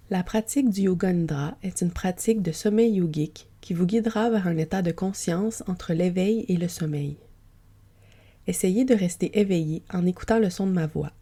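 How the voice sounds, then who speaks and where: A woman gently guiding a yoga nidra relaxation for sleep, with a soft, breathy, soothing and unhurried delivery. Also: on the low side. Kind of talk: guided sleep relaxation, meditation narration.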